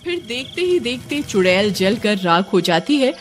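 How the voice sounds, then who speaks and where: A woman's voice: a little high, sing-song, normal speed, soft background music. A woman narrator reading a scripted storybook-style tale.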